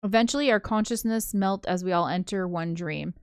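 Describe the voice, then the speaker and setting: A woman reading a visual novel aloud as a let's play narrator, in an expressive first-person storytelling manner. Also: mid-range voice, brisk, clear, resonant tone, dry recording, clean studio sound. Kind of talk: live read-aloud of a story game, with casual asides. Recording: music behind the voice. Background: game soundtrack music.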